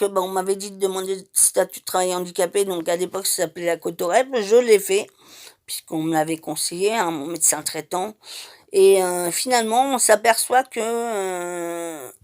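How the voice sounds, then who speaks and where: A middle-aged woman from Madeira telling her life story in an unscripted, hesitant way, with many pauses and restarts. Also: medium pitch, a little mellow. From Madeira, Portugal. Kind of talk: personal testimony, unscripted.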